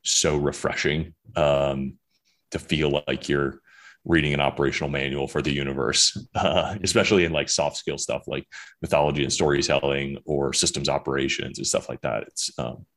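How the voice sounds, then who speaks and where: A man's voice: a bass voice, steady tone, moderate pace, fairly bright tone. A young man talking casually as a podcast guest, rambling with many fillers and restarts. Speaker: guest author.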